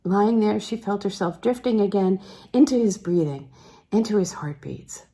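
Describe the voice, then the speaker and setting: A woman narrates literary fiction with a dark, mellow tone at a middle pitch. Her reading is measured and expressive, shaped by deliberate pauses. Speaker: audiobook reader. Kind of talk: literary narration read aloud.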